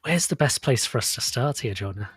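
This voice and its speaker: A man's voice: medium pitch, animated, fairly quick, breathy edge, no echo, soft background music. A man in his thirties hosting a live-stream interview, upbeat and affirming, often saying "absolutely". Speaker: show host. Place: home studio. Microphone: studio mic on arm.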